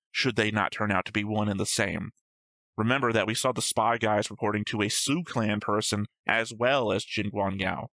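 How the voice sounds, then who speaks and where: Low-voiced man, close dry sound. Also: a bit bright, dry recording. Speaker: reaction show co-host. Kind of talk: casual episode discussion reading own notes.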